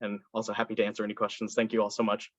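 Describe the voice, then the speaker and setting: A man's voice: lowish voice, completely flat delivery, racing, no echo. A young man from Indiana speaking earnestly as a candidate in a student election, with many fillers and restarts. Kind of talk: campaign speech and answers to questions. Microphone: video call. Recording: dull lo-fi video call audio, dry.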